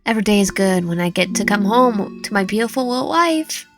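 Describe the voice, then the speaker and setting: A woman's voice: medium pitch, expressive, brisk, music in the background, close to an everyday voice. A woman voicing a doting, possessive man in a romance story, cooing and condescending. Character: doting, possessive man, tender and creepily condescending captor-husband.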